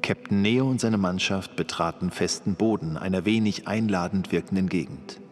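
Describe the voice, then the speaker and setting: A man's voice: sits low, normal speed, music in the background, natural voice. A man playing the solemn narrator of a sci-fi audio drama, reading scripted dramatic narration. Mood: solemn, suspenseful. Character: solemn male narrator of a space adventure.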